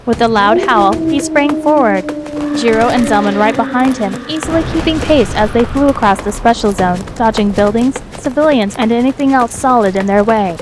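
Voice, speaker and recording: Female narrator for a fan fiction audiobook: higher-pitched voice, scripted storytelling, slight background noise. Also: normal speed.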